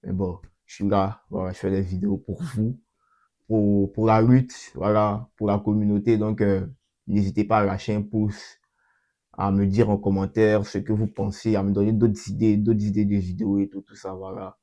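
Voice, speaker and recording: A young man hosting his channel and talking through a coding demo in a casual way, with a cold that makes his voice a bit off. He repeats himself often and fills pauses with hesitations. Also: lowish voice, flat delivery, dark tone. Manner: repeats himself, many fillers and restarts. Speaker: channel host. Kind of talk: casual screen-recorded coding walkthrough.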